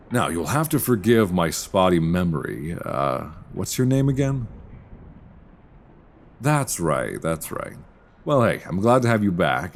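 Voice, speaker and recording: A man playing a charming, teasing English professor in an intimate roleplay audio drama, talking with soft hesitations in a deep, gravelly voice. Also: a little hiss or hum, exaggerated voice. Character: charming, teasing male English professor, mild-mannered but flirtatious. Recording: binaural recording with faint background ambience.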